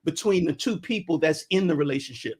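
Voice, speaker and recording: A man, speaking a little higher than most men. He talks about marriage as a guest instructor in a casual, halting way, stopping and restarting often with ums. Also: lively intonation, a little mellow, slightly rough. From Brooklyn, New York.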